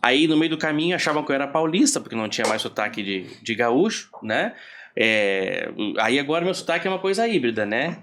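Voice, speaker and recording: Relaxed man from southern Brazil, rambling. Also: mid-range voice, brisk, background noise. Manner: relaxed, rambling, many restarts and fillers, laughs easily. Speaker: podcast guest. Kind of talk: casual storytelling in conversation.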